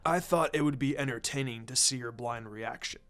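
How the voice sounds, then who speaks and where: A man's voice: medium pitch, brisk, fairly bright tone, soft background music, pitched below the performer's own voice, lightly acted. A man voicing a wise old male village leader in a story reading, coy and knowing. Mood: amused.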